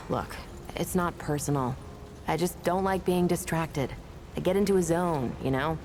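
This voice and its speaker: An actress playing a gruff, prickly weapons tinkerer, an impatient loner in a video game, with blunt sardonic delivery. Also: female voice, deep voice, a little slow, slight background noise. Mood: irritated, brusque. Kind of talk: video game character dialogue.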